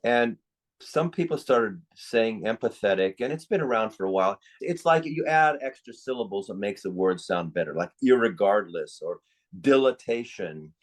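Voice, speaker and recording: A man's voice: lowish voice, animated, normal speed. A man speaking as a psychiatrist and interview guest, candid and opinionated, stressing key words as he talks conversationally.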